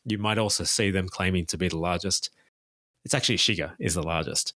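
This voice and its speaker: A man's voice: sits low, fairly quick, bright, forceful tone, no room sound. A man who works as a tour guide, talking as a guest in a casual interview and giving detailed, enthusiastic recommendations.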